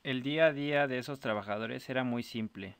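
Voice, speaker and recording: A man's voice: medium pitch, fairly quick, fairly bright tone, clear, resonant tone, dry recording. A man narrating a scripted short story as a storyteller.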